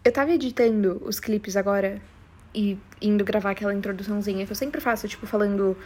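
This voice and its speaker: A woman's voice: mid-range voice, steady tone, fast talker, a little mellow. A young woman with a strong São Paulo Brazilian accent, chatting casually and confessionally in a rambling, slangy, emphatic way. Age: young adult. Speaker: podcast host.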